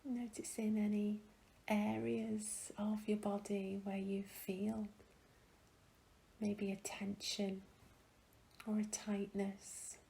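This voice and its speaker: A woman guiding a meditation in a gentle, soothing, hushed and breathy voice, leaving long pauses between phrases. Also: medium pitch, completely flat delivery, rough recording. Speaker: meditation guide. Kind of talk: guided meditation, soothing and slow.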